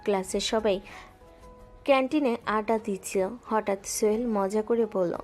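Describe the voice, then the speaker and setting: A woman's voice: mid-range voice, moderate pace, faint music behind. Woman narrating a romance story. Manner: calm, even storytelling.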